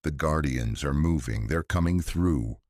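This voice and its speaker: A man's voice: a bass voice, a little slow, a little mellow, no room sound, clean studio sound. A man voicing a serious, careful teenage boy in an audiobook, with terse, weighted delivery. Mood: grave.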